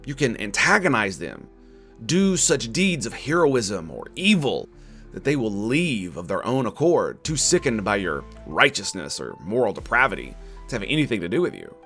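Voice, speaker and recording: A man's voice: on the low side, very animated, moderate pace, fairly bright tone. A man narrating a scripted video game critique in an opinionated, sardonic manner. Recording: music under the voice. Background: background music.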